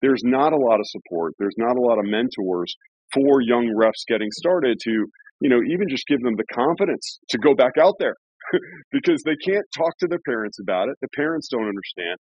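A man's voice: mid-range voice, animated, a little fast, slightly rough, dry recording. A man in his forties hosting a casual interview, chatty and rambling with restarts and laughs. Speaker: podcast host. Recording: dull lo-fi sound.

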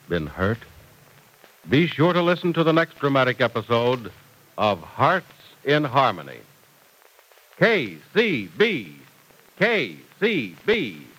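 A man's voice: medium pitch, a bit bright, rough recording. An American man working as an old-time radio announcer, reading in an emphatic, salesmanlike style. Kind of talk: 1940s radio announcing and ad read.

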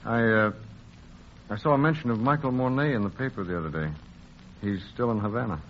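An actor playing an earnest, devoted gentleman suitor, pleading his case in an old-time radio drama. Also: lowish voice, fairly quick, natural voice. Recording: muffled, hissy old radio recording.

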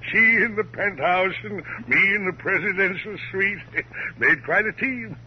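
A man's voice: sits high, measured pace. An actor playing a genial old con man in a 1950s radio drama, folksy and rambling. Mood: sheepish, amused. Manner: folksy, rambling, chuckling, calls listeners son. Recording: muffled vintage radio recording, faint hum.

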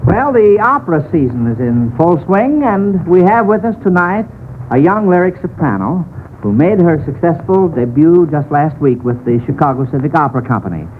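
An American man hosting a 1940s radio quiz show with formal, measured announcing. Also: a little high, sing-song. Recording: tinny, noisy old radio broadcast.

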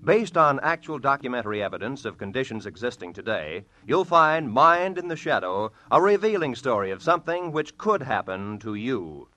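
An American man announcing on network radio in formal, measured, scripted 1940s style. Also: mid-range voice, sing-song, bright, forceful tone. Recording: vintage 1940s radio broadcast with music.

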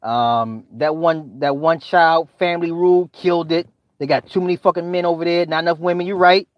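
A man's voice: on the high side, steady tone, a little fast, no echo. Brief: a ranting man, slangy and repetitive, dry lo-fi recording. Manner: repetitive, slangy, stumbling restarts. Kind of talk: profane comedic rant.

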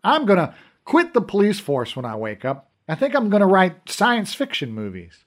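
A brash, mocking police officer who speaks loudly and cockily, as a man plays him. His voice is raised and jumps around in pitch. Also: huge pitch swings, normal speed. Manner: loud, cocky, teasing.

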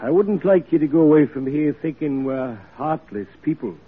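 An actor playing a plain-spoken, defensive poorhouse keeper in an old radio drama, emphatic and self-justifying. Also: male voice, fairly high pitch, expressive, normal speed, lightly acted. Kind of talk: 1940s radio acting. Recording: muffled vintage radio broadcast recording.

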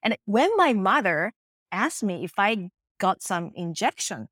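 A woman's voice: medium pitch, very animated, measured pace, a bit bright, no echo. Japanese-accented woman, earnest and dry-sounding. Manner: earnest, reflective storytelling with acted quotes. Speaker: face yoga teacher. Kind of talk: interview answers.

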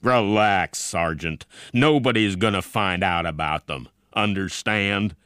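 A man voicing a gruff, hot-tempered army general who barks blunt orders in an audiobook story. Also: sits low, slow pace, fairly bright tone, no room sound, studio quality. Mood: curt. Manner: barking, blunt, commanding.

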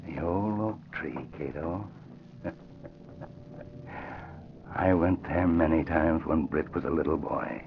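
A man's voice: extremely low pitch, fairly even intonation, slow, pauses often, full character voice. An actor playing an older American father, proud and eager, with emphatic folksy exclamations in 1940s radio drama style. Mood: wistful. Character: proud, eager old father, former Texas rider. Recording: muffled, noisy vintage radio recording.

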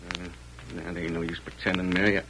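An actor playing a bitter, desperate young cowboy with an American Western drawl and folksy slang in a radio western. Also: male voice, deep voice, level tone, fast talker, pushed and bright. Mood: bitter, anguished. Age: young adult. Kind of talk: 1940s radio western acting. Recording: noisy vintage radio recording.

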